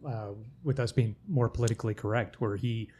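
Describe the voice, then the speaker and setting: A man's voice: on the low side, steady tone, a little fast, warm tone, a little hiss or hum. A man hosting a casual podcast interview, asking long rambling questions with joking asides and frequent restarts. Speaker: podcast host.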